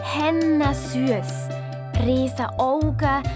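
A woman's voice: sits high, fairly even intonation, extremely slow. A woman playing an eager, self-assured young girl with a Swiss German accent, telling a children's radio play. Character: eager dog-loving young girl, hotel owners' daughter. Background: music.

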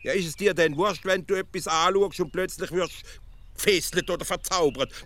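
An actor with a Swiss accent playing a nagging, suspicious, literal-minded provincial philosopher in a deadpan radio comedy, muttering back what he hears. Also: high voice, moderate pace. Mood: argumentative.

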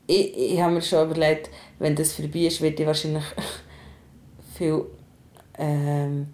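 Low-voiced Swiss German woman podcast host. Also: warm tone. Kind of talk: conversational podcast talk.

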